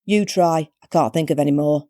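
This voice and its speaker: A woman voicing a slow-witted man, the exasperated son of a meddling crone, in a story. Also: deep voice, warm tone. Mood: casual.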